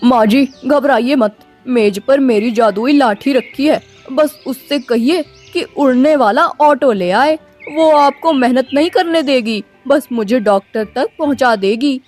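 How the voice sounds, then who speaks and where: A woman's voice: sits high, measured pace, few pauses, darkish tone, faint music behind. A woman voicing a sick, polite owl in a children's cartoon, pleading in manner. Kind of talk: Hindi children's cartoon voice acting.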